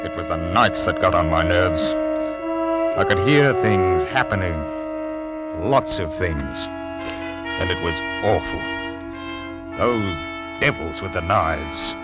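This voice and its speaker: An actor playing a stern, anxious 1700s ship's captain in old-time radio drama, telling his tale gravely and dramatically. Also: deep voice, lively intonation, takes it slowly, pauses often. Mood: grave, haunted. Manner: dramatic, grave first-person storytelling. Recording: old radio recording with music behind.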